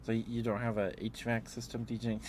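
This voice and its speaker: Male ASMR streamer, lower register, gentle drowsy casual delivery, close mic with clear background noise. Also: little pitch movement, a little fast. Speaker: live streamer. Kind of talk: rambling ASMR livestream chat.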